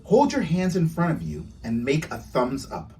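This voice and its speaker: A man's voice: fairly high pitch, big pitch swings, normal speed, warm tone, recorded from a distance, a little hiss or hum. A man voicing a small, helpful pet hamster who teaches manners in an encouraging, instructive way in a children's storybook. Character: small male talking pet hamster, helpful etiquette tutor.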